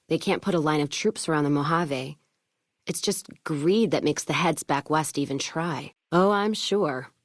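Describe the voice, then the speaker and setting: A woman's voice: low-pitched, no echo, clean studio sound. A woman playing a tough, cynical, foul-mouthed caravan trader in a video game, with a blunt, salty, wry way of talking. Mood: grim, resolute. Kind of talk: video game character dialogue.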